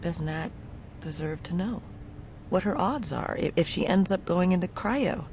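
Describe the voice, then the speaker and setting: A woman's voice: fairly low pitch, expressive, a little slow, a little mellow, slight background noise, low-quality recording. A woman voicing a conscientious, troubled ship's doctor in a game, hesitant and thoughtful in a naturalistic style. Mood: guilty, troubled.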